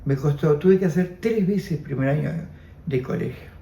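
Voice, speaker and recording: A man's voice: fairly high pitch, normal speed, gravelly, mellow, reverberant, slight background noise. A man in his seventies with an Argentine Spanish accent, Armenian as his first language, giving an oral history testimony, reflective and repeating his phrases. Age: 70s. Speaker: interviewee.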